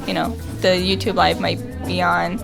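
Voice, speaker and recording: A woman's voice: fairly low pitch, little pitch movement, normal speed, creaky. A woman chatting casually as a radio guest in a friendly, hesitant way, switching between two languages. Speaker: radio guest, online English-lesson presenter. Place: busy market pop-up event. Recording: music behind at live event. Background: music.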